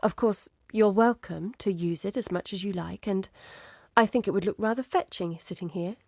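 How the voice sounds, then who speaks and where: Casting: actress as inquisitive young female scholar-explorer for a video game; earnest, measured, mid pitch, close dry recording. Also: darkish tone, no room sound, close to an everyday voice. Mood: pleased, hopeful. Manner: articulate, measured, thoughtful. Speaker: game character, scholar and map researcher. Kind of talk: scripted video game dialogue.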